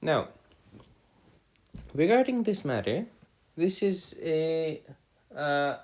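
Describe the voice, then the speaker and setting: A man tutoring a math and physics lesson in formal, old-fashioned wording, often halting and correcting himself. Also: fairly high pitch, very animated, a little mellow.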